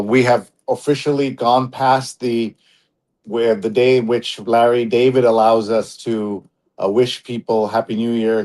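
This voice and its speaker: A man with a mid-pitched voice hosts a live talk show. He speaks conversationally to his co-host and to the viewers, moving between chat and announcements. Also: rough recording.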